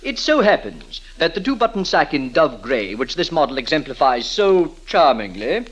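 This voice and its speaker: High, swooping, pompous shop salesman. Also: big pitch swings, normal speed. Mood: flattering, persuasive. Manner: florid affected diction with French flourishes. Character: pompous, effusively obsequious high-class menswear salesman. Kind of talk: 1930s radio comedy acting. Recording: old 1930s radio recording.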